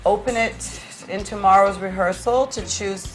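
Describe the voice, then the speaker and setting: A woman's voice: medium pitch, normal speed, faint music behind, low-quality recording. A woman, a teacher, talking casually as a guest in an interview. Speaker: teacher, talk show guest.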